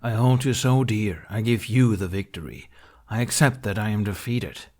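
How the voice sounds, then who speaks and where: A man's voice: lowish voice, moderate pace, darkish tone, lightly acted. A man voicing a grumpy, indignant male actor who plays a knight with grudging, gritted delivery in a story reading. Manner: gruff, through gritted teeth. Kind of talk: character voice in fan fiction reading.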